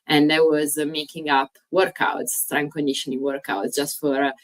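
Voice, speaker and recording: Woman, italian accent, low-pitched, slightly distant from the mic, hesitant with many uh pauses. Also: level tone. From Italy. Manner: frequent "uh" pauses, slightly non-native grammar. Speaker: guest, fitness coach. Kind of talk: casual interview chat.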